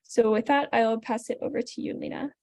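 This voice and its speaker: A woman hosting a webinar, reading a measured, scripted introduction of the guest. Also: fairly high pitch, steady tone, warm tone, dry recording, clean studio sound. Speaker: webinar host.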